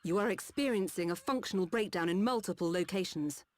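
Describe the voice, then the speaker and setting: A woman voicing a composed, caring mechanical-lifeform doctor in a game, speaking in a measured, formal, polite way. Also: on the low side, a little mellow, lightly acted. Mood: concerned, assured. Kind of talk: scripted game cutscene acting.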